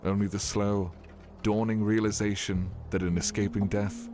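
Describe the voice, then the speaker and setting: Clear ambient noise sits behind a man with a low voice. He narrates a fantasy audio drama in a scripted, dramatic storytelling style. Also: flat delivery, normal speed, mellow.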